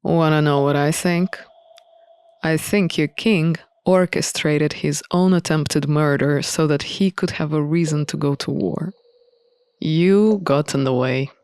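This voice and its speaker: A woman voicing a sardonic, reproachful male prisoner in a visual novel, in a measured, needling manner. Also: sits low, darkish tone, clean tone, dry recording, pitched below the performer's own voice. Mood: dry reproach. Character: sardonic imprisoned man, familiar and reproachful.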